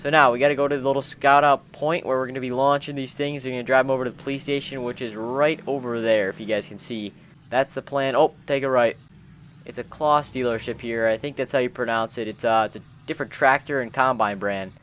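A man's voice: mid-range voice, steady tone, fast, a bit bright. Casual male gamer, vehicle noise behind. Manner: chatty, informal, peppered with bro-style slang. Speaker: gaming video host. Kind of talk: casual roleplay commentary while playing a video game with friends.

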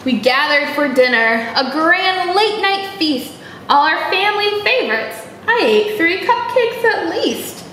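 Young female children's storytime reader, high-pitched and unhurried, animated and encouraging, addresses kids directly. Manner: animated, exaggerated, encouraging, asking the listener questions. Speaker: library storytime host. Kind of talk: children's storytime read-aloud, chatting and singing to young viewers. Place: small indoor room. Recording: echoey room with faint background noise.

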